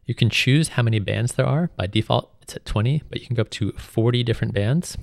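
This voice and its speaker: A man's voice: mid-range voice, a little fast, vocal fry, no room sound, studio quality. A man giving an informal, step-by-step tutorial voice-over as a music production instructor. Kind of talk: screen tutorial narration, explanatory.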